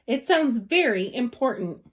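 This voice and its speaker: A small, earnest young bear voiced by a woman from a children's storybook. He speaks in a slightly high, lively voice, choosing his words carefully and with formal courtesy. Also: unhurried.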